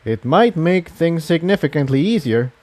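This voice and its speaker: A man's voice: a little high, animated, moderate pace, darkish tone, voice pushed up, lightly acted. A man voicing an upbeat male unicorn tinkerer in a fantasy story, explaining things in a reassuring manner.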